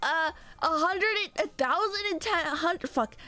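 A young adult woman streaming live, chatting excitedly with viewers and thanking them by name, speaking through a sore throat. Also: very high-pitched, normal speed. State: sore throat, a little sick. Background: faint background music.

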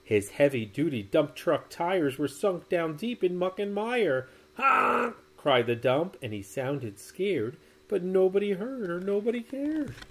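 A man in his forties reading a children's storybook aloud in a measured, sing-song way. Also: sits high, animated, darkish tone. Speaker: storybook reader. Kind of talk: expressive children's read-aloud. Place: small indoor room.

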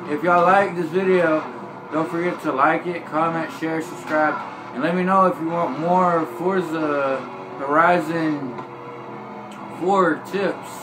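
Man, easygoing rambling gameplay guide, slightly high voice, filmed by phone with game music behind. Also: a little slow, poor audio. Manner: relaxed, rambling, drawled, says y'all. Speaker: gameplay tips narrator. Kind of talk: casual gameplay walkthrough. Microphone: phone filming a TV screen.

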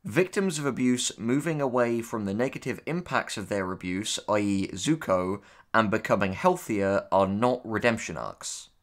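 Male co-host, lowish register, measured and articulate, opinionated in casual discussion. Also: expressive. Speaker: co-host, audio drama writer.

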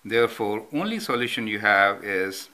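A man's voice: on the low side, animated, normal speed, pushed and bright. A man explaining a math problem step by step as he writes it out, often checking in with "right?". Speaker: math teacher. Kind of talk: whiteboard math tutorial. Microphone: off-camera voice over handwritten notes.

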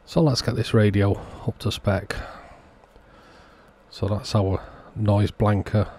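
A man with a very deep, husky voice and a soft, dark tone talks through a hands-on repair. He keeps it understated and matter-of-fact, explaining each step as a channel host would. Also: level tone, takes it slowly. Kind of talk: hands-on repair walkthrough narration.

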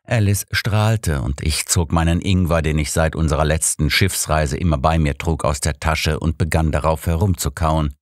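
A man's voice: rumbling low, steady tone, normal speed, few pauses, no echo, studio quality. A man narrating a story in the first person as a scripted audiobook reading.

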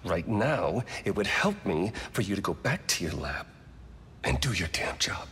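An actor playing a smug, scheming male corporate villain in a video game, talking in a smooth, condescending, casual way. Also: deep voice, very animated, fairly bright tone, a little hiss or hum, exaggerated voice. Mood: impatient. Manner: casual, taunting, drawn-out pauses. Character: smug scheming male tech CEO, middle-aged. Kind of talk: video game cutscene acting. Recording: game audio with long reverb and faint ambience.

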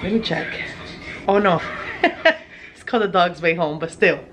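A woman's voice: lowish voice, moderate pace, a little hiss or hum. A woman in her thirties chatting casually to her phone in her home kitchen, bubbly and rambling, with little giggles. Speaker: family vlogger. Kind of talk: casual vlog chat. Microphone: phone at arm's length.

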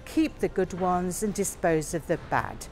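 A woman guiding a warehouse tour, explaining each area in a slow, plain way. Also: fairly low pitch, big pitch swings, darkish tone, faint music behind. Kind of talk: guided tour narration.

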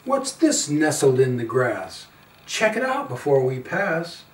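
An older man, around seventy, reading a children's picture book aloud in an expressive, singsong and playful way. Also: on the high side. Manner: slow, singsong, playful calls with quieter asides. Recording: roomy, a little far from the mic.

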